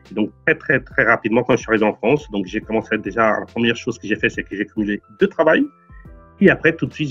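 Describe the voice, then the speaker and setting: A man from Portugal in his forties answers questions earnestly as an interview guest, often stumbling over and repeating his words. His voice is husky and mid-pitched. The recording sounds slightly dull and lo-fi, with faint music behind. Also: fairly bright tone. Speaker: real estate investor guest.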